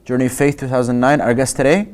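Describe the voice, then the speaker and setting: A man's voice: mid-range voice, fairly even intonation, quick pace, a little mellow. A man hosting an interview, asking questions with frequent hesitations and restarts. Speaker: interview host. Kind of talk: casual interview.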